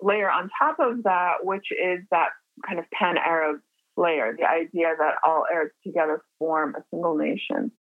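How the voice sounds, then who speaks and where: A woman born in the United States explaining history in a measured lecture style, with occasional fillers. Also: on the low side, no echo. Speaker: interviewed scholar. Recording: tinny phone-like line, faint music.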